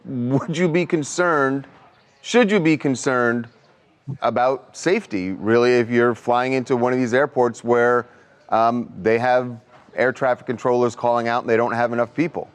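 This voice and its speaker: Male co-host, smooth clean mid-range voice, conversational news talk. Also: medium pitch, fairly even intonation, moderate pace.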